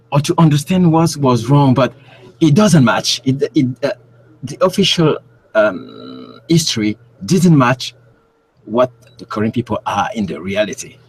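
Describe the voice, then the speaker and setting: A man's voice: medium pitch, a little hiss or hum, poor audio. A man from Cameroon, a guest researcher speaking on a remote call, who often stops to search for words. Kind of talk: interview on a call.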